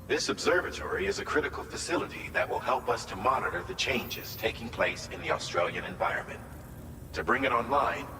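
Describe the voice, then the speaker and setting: A man playing a businesslike mission briefer in a video game, speaking in a formal, measured, instructive way. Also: mid-range voice, noisy background, natural voice. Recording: echoey, distant hall reverb under music.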